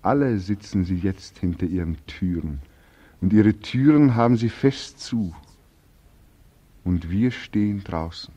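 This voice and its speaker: An actor playing a bitter, despairing young war veteran in a 1940s radio drama, brooding and accusing in manner. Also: male voice, low voice, a little slow, dark tone, breathy edge, muffled recording.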